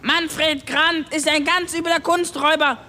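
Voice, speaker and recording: A woman's voice: sits high, steady tone, a little slow, bright, forceful tone. A woman voicing a grumpy little male ship's kobold in an audio story, scolding and emphatic like an exasperated know-it-all. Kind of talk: acted German audio story.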